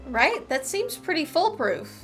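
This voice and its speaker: A woman's voice: sits high, sing-song, unhurried. A woman giving casual, playful commentary as she plays through a video game. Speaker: gaming streamer. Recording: faint game music behind.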